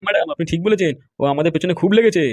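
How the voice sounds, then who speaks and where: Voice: medium pitch, rapid-fire, a little mellow, no echo, close to an everyday voice. A performer voicing a fawning, gossipy sidekick in a cartoon comedy, eager and deferential. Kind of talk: amateur cartoon comedy dialogue.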